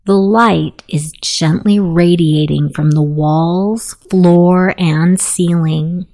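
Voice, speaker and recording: A woman leading a guided meditation in a soothing, hushed manner with long pauses. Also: lowish voice, fairly even intonation. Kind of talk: guided meditation, self-hypnosis narration.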